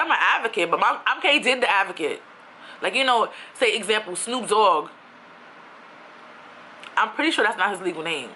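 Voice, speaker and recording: A woman's voice: mid-range voice, slight background noise. A young woman from New York, ranting casually on her own vlog in a chatty, slangy way. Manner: chatty, animated, with slang and asides. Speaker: YouTuber.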